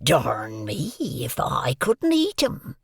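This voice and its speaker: A man voicing a hungry, threatening escaped convict, speaking in slow, growling, half-whispered threats. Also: mid-range voice, wildly swooping pitch, creaky, breathy edge, no room sound, studio quality, exaggerated voice. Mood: menacing. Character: menacing ragged male convict, hungry and threatening.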